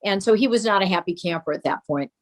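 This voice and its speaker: Older woman reminiscing in medium pitch. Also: expressive, fast, a little hiss or hum. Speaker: interview guest sharing memories of her father. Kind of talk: reminiscing, anecdotal storytelling.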